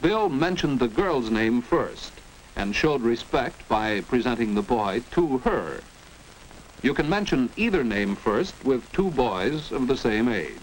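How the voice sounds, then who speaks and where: Lively American man narrating an old educational film. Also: medium pitch, measured pace. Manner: didactic, precise enunciation. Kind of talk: 1940s instructional film narration. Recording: noisy 1940s educational film soundtrack.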